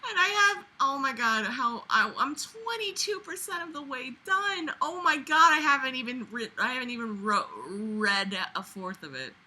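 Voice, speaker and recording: A woman's voice: high voice, expressive, normal speed, few pauses, pushed and bright. A young woman reading a book aloud and breaking in with mocking, incredulous, sweary commentary in a theatrical, exasperated manner. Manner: mocking, sarcastic. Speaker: host of a comedic book read-along.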